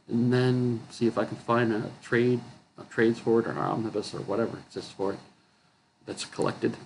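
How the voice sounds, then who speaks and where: A man chatting casually about his comic book haul, his voice going hoarse and rough, clearing his throat often and correcting himself as he rambles. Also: medium pitch, a little hiss or hum, poor audio. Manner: rambling, self-correcting, chuckling. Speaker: comic book collector vlogger.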